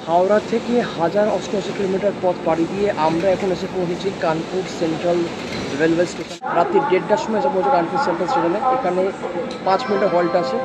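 Fast-talking high-voiced Bengali man. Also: steady tone, poor audio. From West Bengal. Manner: brisk, mixes English words into Bengali. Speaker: travel vlogger. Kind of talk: on-camera travel vlog. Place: train stations and a bus terminal, indoors and out. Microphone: handheld phone at arm's length.

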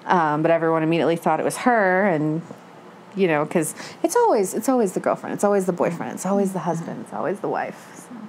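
A woman in her thirties chatting casually as a book club panelist, trailing from one halting phrase to the next. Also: lowish voice, animated, darkish tone, a little hiss or hum. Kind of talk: casual chat. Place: living room, indoors.

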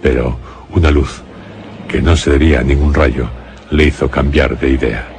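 A man narrating a radio horror drama as a grave storyteller, solemn and deliberate, with a Castilian accent. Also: extremely low pitch, steady tone, a little mellow, a little hiss or hum. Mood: grave suspense. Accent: Castilian Spanish. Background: music.

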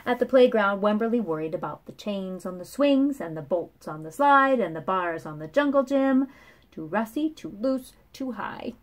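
A woman in her thirties reading a picture book aloud to children with expressive, dramatic phrasing. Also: mid-range voice, moderate pace. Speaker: children's story reader. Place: small room at home, indoors.

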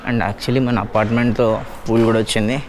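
Young guy motovlogging, chatty and relaxed, mid pitch, street noise behind. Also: quick pace. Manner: mixes Telugu with English, chatty and upbeat. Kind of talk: casual vlog narration. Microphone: lav mic on helmet while riding. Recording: road noise.

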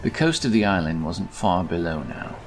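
A man's voice: low-pitched, animated, normal speed. A man narrating a scripted fantasy story as its storyteller. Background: music.

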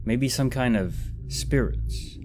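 A man narrating as a storyteller and host in a measured, reflective manner. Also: medium pitch. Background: background music.

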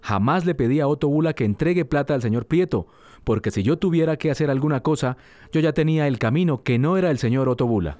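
A man's voice: fairly high pitch, warm tone, no room sound. A man giving a firm formal statement from an archive clip. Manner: firm denial, rapid. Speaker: interviewee.

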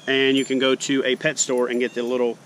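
A man in his forties, a fishing show host, casually demonstrating tackle tips in a backyard, speaking in a relaxed, folksy way. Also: mid-range voice, fairly quick, slight background noise, poor audio. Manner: relaxed, folksy explaining, plain talk. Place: backyard lawn outdoors. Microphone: camera mounted close on chest.

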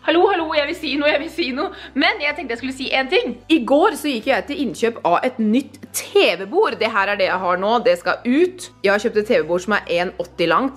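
A woman's voice: a little high, a bit bright. A young woman from Norway chatting upbeat to her viewers while showing around her home on a handheld camera. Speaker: vlogger. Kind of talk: casual house tour vlog. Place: bright modern home, indoors. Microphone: handheld camera at arm's length.

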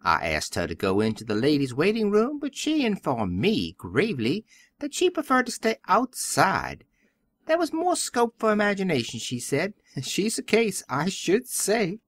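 Brisk, jaunty male station master for a storybook, played by a woman; offhand, very animated delivery, lowered pitch.

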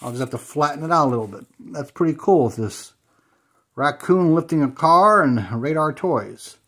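A man's voice: fairly high pitch, expressive, moderate pace, a bit bright, husky. A middle-aged man chatting casually as he unboxes old toy cars at a table at home, thinking aloud as he handles each one. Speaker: toy car collector unboxing.

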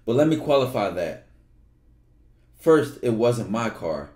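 A young man streaming from his bedroom on a headset, reading a book aloud with relaxed, slangy asides. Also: mid-range voice, unhurried, roomy sound. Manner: relaxed, slangy, loud outbursts when joking.

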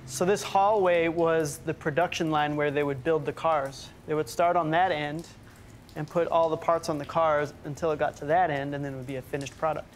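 A man's voice: fairly high pitch, steady tone, normal speed, a little hiss or hum. A man in his thirties hosting a documentary, talking thoughtfully and casually, partly on location outdoors. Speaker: host. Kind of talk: documentary presenting and voice-over. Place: outdoors and inside an abandoned factory.

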